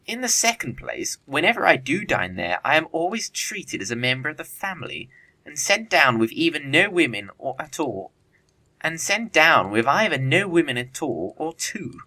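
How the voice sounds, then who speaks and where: A man's voice: a little high, sing-song, moderate pace, pushed and bright, full character voice. A man playing a flippant, witty young upper-class bachelor in a period comedy audio play, with an arch, theatrical delivery. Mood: mock indignant.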